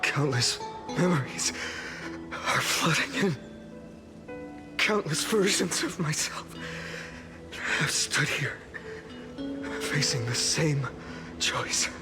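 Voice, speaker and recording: An actor playing an anguished, grimly resolute young male hero in a video game, halting and intense, with a breathy, gravelly undertone. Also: a little high, lively intonation. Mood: burdened. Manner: halting, intense, heavy delivery. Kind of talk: dramatic video game voice acting. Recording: studio voice over loud music.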